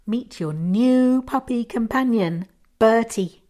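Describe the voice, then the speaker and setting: A gentle, caring husband from a short story, read by a woman in close to her own voice. He speaks earnestly and encouragingly to his wife. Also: on the high side, slow, pitched above the performer's own voice.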